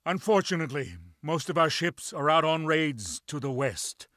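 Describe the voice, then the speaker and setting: An actor playing a stern, fierce lord of a pirate clan in a video game, speaking with declamatory authority. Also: male voice, a little high, expressive, normal speed, fairly bright tone, no echo, clean studio sound. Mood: rousing. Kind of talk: video game cutscene acting.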